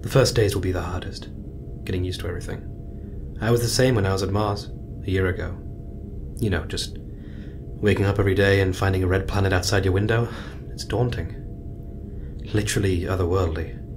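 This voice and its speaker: A deep-voiced male astronaut in charge of a mission , played by an actor. He is reflective and gentle, quick to apologise, with hesitant musing delivery. It is a naturalistic sci-fi audio drama over a clearly audible rumble. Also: fairly even intonation. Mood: wistful, musing. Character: thoughtful, apologetic male space mission leader, a doctor. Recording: clear low rumbling noise.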